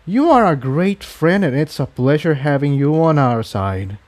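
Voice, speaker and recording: A man voicing a kind, steady male pony and loyal friend in a storybook reading, sincere, gentle but firm. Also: a little high, measured pace. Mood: warm, consoling.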